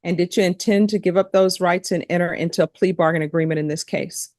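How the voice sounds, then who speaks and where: Low-voiced woman judge, formal and procedural. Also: steady tone, fast talker, a little mellow, no echo, clean studio sound. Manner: procedural, spells out names. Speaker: judge presiding over a court hearing. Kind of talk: formal courtroom questioning. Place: courtroom.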